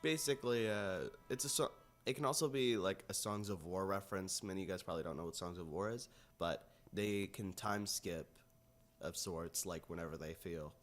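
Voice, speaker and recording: Deep-voiced man chatting casually. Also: normal speed. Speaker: co-host of an entertainment talk show. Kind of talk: casual explanatory chat.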